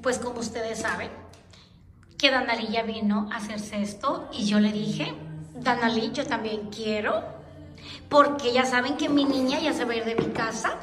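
A woman's voice: sits high, a bit bright. A middle-aged woman in casual family chat, bantering and mildly scolding, repeating her phrases, picked up by a handheld phone. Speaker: vlogger's mother. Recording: echoey public hall, music and crowd.

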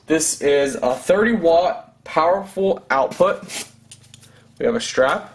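A man's voice: fairly high pitch, lively intonation, slow, vocal fry. A man in his thirties doing a casual product unboxing review, talking as a reviewer at home. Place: room at home.

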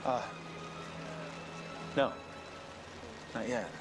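An actor playing a friendly young businessman who speaks softly and calmly in a TV drama. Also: medium pitch, very slow. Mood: warm. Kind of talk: TV drama acting. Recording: drama audio with music score.

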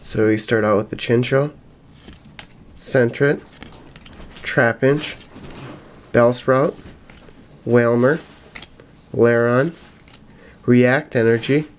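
A young man talks casually at a table at home, recorded on a camera mic with faint noise and crinkling wrappers. His voice is medium in pitch and slightly raspy, as if getting over a cold. He keeps a low-key, matter-of-fact manner as he comments on what he unpacks. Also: fairly even intonation, measured pace, long pauses. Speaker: YouTube host opening trading card packs. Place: indoors at a table in a home room. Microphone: camera mic at about arm's length. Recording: noisy room, card and wrapper crinkling. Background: crinkling packs, clicks, faint noise.

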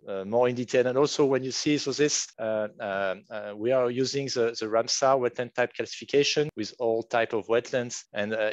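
A man in his forties with a strong French accent, moderating an online workshop over a headset on a video call, halting with many fillers and restarts. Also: medium pitch, no room sound. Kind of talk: online meeting facilitation. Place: home room, virtual background. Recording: dull, dry video call audio.

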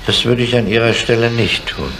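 A male actor playing a gruff, probing older police inspector in a 1970s German radio crime play, with a needling, insistent manner. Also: mid-range voice, fairly even intonation, fairly quick, close to an everyday voice. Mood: needling, calm. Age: middle-aged. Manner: needling, insistent, with tag questions. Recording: noisy 1970s radio recording.

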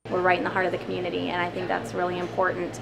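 A woman's voice: fairly low pitch, monotone, racing, creaky, background noise. A young woman on the cafe staff, talking in an upbeat, chatty way in an interview. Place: cafe, indoors. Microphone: lapel.

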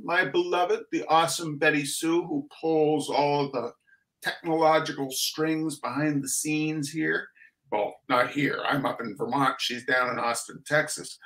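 A man's voice: a little high, a bit bright. A middle-aged man hosting an art talk show over a video call, with an exuberant, showman-like way of talking. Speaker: host. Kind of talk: lively video-call interview. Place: home studio room, indoors.

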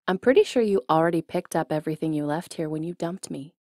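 A woman's voice: low voice, quick pace, warm tone, no echo, studio quality. A young woman playing a jealous, teasing college ex-girlfriend in an intimate ASMR roleplay, speaking expressively straight to the listener. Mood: indignant, defensive. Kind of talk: ASMR roleplay acting, intimate one-sided dialogue.

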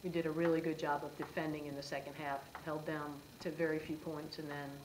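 A middle-aged woman, a head basketball coach, answering questions at a post-game press conference in a brisk, matter-of-fact way. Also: sits low, flat delivery, echoey room, background noise. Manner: brisk, matter-of-fact, with frequent "um" and "you know". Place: press room before a sponsor backdrop, seated at a table.

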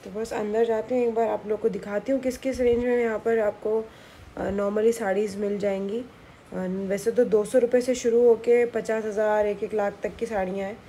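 A woman's voice: mid-range voice, little pitch movement, normal speed, warm tone, a little hiss or hum. A woman in her thirties vlogging casually on a phone held at arm's length, mixing English words into her Hindi. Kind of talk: casual vlog narration. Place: outdoors by a garden, then at home, then in a busy shop.

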